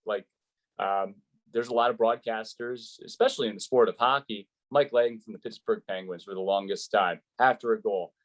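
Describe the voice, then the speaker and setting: A man's voice: lowish voice, moderate pace, poor audio. An American man, a sports play-by-play announcer, giving advice conversationally in an interview and explaining with examples and rhetorical questions.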